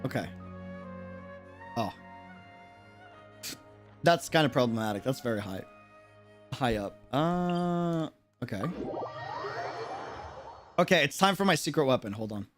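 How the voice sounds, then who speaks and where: A man's voice: mid-range voice, animated, a little slow, long pauses, faint music behind. An American man chatting casually and reacting in slang as he streams a game. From United States. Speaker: live streamer. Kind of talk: casual game livestream commentary.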